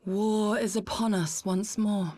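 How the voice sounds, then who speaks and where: An actress playing a proud, stoic woman warrior in a video game, speaking in a solemn, slow and resolute way. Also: mid-range voice, fairly even intonation, mellow. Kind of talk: video game cutscene voice acting.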